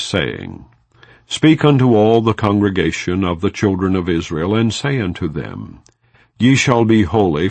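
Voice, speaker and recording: A man with a low voice reads scripture as an audiobook narrator. His delivery is slow, solemn and formal, with a lively rise and fall in pitch across the measured phrases. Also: gravelly, no echo. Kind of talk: scripted scripture reading, non-dramatized.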